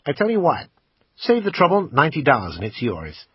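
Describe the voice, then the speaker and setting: A man's voice: a little high, wildly swooping pitch, a little fast, slightly rough, dry recording, pitched above the performer's own voice, full character voice. A man voicing an eager, haggling male pawn shop salesman with a pushy sales patter. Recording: dull, slightly lo-fi.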